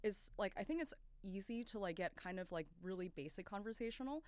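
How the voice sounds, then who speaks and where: A woman's voice: mid-range voice, animated, brisk, darkish tone, no room sound. A woman hosting a podcast, asking long questions in a chatty, encouraging way. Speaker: podcast host. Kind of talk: casual interview conversation.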